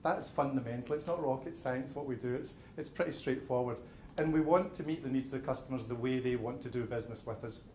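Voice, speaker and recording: A man's voice: medium pitch, fast talker, darkish tone, a little hiss or hum. Glaswegian man explaining plainly in an echoey room. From Scotland. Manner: plain-spoken, explains step by step with repeated openers. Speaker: building society manager addressing members. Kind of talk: presentation and audience Q&A. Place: event venue with an audience.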